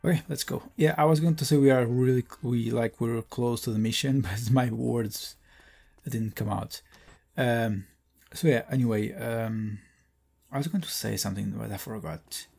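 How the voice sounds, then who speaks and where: A young man hosting a let's play, chatting casually and rambling with many fillers; his voice is bassy. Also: normal speed, gravelly, darkish tone, husky. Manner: rambling, hesitant, filler-heavy. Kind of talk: casual gameplay commentary.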